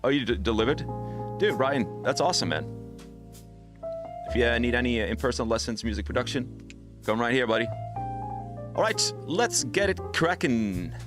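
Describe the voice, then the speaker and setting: A man's voice: medium pitch, expressive, normal speed, pauses often. A man from the Netherlands hosting a live stream, chatting casually and answering viewers' questions directly. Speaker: music production teacher hosting a demo stream. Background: electronic music playing.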